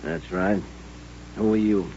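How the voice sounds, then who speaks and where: Belligerent drunken cowboy, low voice, blustering threats, radio western, dull old recording. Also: male voice, flat delivery, slow pace, mellow, a little hiss or hum. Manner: blustering, threatening frontier talk. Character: belligerent, drunken, aggrieved cowboy spoiling for a gunfight.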